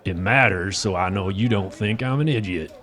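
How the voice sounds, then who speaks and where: A man's voice: deep voice, moderate pace, fairly bright tone. An actor playing a shrewd, wary smuggler in an audio drama, blunt and folksy in his talk. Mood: wary, probing. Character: gruff, wary, shrewd male smuggler with stubble and moustache.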